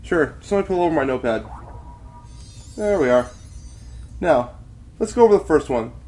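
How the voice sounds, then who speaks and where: Guy doing excitable young reporter pony, radio play, swoopy delivery, echoey room. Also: a little high, normal speed, close to an everyday voice. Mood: reassuring. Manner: eager, expressive. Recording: roomy distant sound with faint music.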